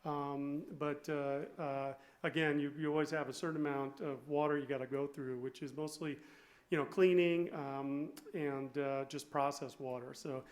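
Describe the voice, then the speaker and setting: Male brewer, fairly high pitch, casual explanatory panel talk with a hesitant, stop-start delivery. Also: little pitch movement, normal speed. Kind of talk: informal presentation to an audience.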